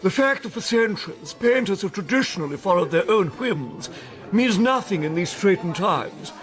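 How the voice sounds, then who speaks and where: An actor playing an obsequious, haughty principal of an art college in a radio drama. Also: male voice, high-pitched, animated, moderate pace, fairly bright tone. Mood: fawning.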